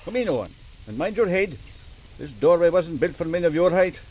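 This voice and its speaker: An old Kerry man of the islands played by a male actor tells tales in a radio drama. His voice sits a little high, and he is folksy, grandfatherly and fond of a boast. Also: a little fast, background noise, natural voice. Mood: welcoming. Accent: Kerry Irish. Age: older. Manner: folksy, animated storytelling.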